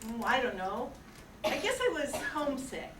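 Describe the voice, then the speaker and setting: A woman's voice: high-pitched, big pitch swings, normal speed. A woman playing Mary Hatch, a forthright, coy young small-town woman in an old-time radio drama. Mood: polite, probing. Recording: echoey room, distant mic, hiss.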